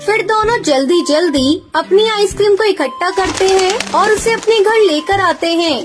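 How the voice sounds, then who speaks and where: Female narrator for children's animated tales, extremely high childlike pitch, music bed. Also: moderate pace. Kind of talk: scripted children's story narration.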